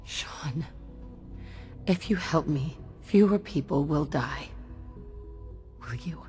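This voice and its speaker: A woman's voice: deep voice, lively intonation, slow, darkish tone, soft background music. An actress playing a cold, ruthless game heroine, hard and controlled in her delivery. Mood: cold, threatening. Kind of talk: video game dialogue acting.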